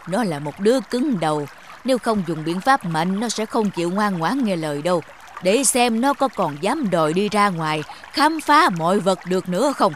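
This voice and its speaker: A man's voice: high voice, lively intonation, moderate pace, fairly bright tone, slight background noise. A man voicing a stern, stubborn, protective old mountain in a children's cartoon fable, grumbling with authority.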